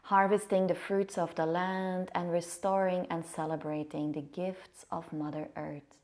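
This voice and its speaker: A woman yoga nidra teacher giving soft guided meditation in a hushed, soothing, unhurried manner. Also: on the low side, level tone. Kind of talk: guided meditation, chanted singing then soft spoken guidance. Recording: music behind the voice. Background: meditative music.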